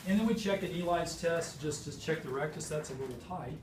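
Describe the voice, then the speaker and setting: Male physician instructor, halting technical delivery, slightly high voice, clear background noise in a reverberant room. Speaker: physician demonstrating hip exam. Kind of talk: clinical teaching demonstration. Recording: roomy, distant mic, noisy background.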